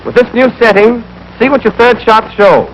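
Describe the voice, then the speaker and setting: A man's voice: very high-pitched, normal speed, muffled recording. A young American man giving scripted, clipped, step-by-step marksmanship instruction as an army instructor in a 1940s training film. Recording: noisy 1940s film soundtrack.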